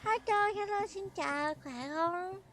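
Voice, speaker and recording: A young woman with a Vietnamese American accent, chattering excitably with her chat while streaming a game, full of slang. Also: very high voice, unhurried. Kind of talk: casual gaming stream chatter. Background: game music.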